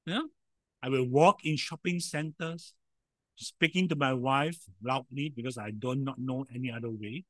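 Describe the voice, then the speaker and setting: A man preaching a sermon in a loud voice, emphatic, with sudden swings in volume and frequent rhetorical questions. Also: mid-range voice, normal speed, no echo, rough recording.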